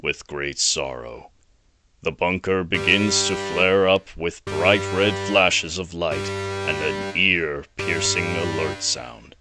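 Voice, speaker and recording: A man's voice: low voice, slow, over music. A man narrating a scripted action audio drama as a storyteller. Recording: noisy home recording.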